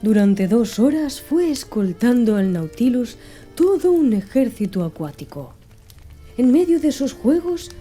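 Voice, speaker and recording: Female audiobook narrator, ASMR delivery: soft, measured, slightly high, very warm and mellow. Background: faint background music.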